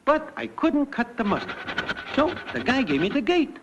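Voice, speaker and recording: High-pitched, brash young cartoon wiseguy. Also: male voice, big pitch swings, moderate pace, noisy background. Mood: breezy. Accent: American. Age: young adult. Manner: breezy, wisecracking, packed with idioms. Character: brash slangy young newcomer to the afterlife telling his life. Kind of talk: classic cartoon comic narration. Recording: dull lo-fi cartoon soundtrack, loud music.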